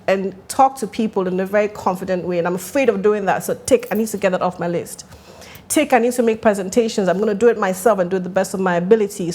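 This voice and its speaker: Lively laughing woman, casual podcast guest. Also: mid-range voice. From Ghana. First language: Italian. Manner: animated, laughs often, restarts phrases.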